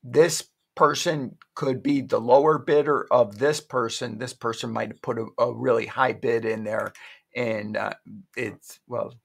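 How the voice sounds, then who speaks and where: A man's voice: mid-range voice, steady tone, unhurried, gravelly, slightly rough. A middle-aged man casually walking viewers through an online auction site at his home desk, rambling and correcting himself as he goes. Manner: rambling, self-correcting, chatty. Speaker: online shopping vlogger. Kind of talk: casual screen-share walkthrough.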